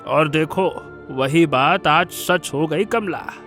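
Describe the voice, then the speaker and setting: Man playing slow, high-voiced contented family father. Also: a bit bright, soft background music. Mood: proud. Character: contented, proud family father, fond husband. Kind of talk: cartoon story dialogue.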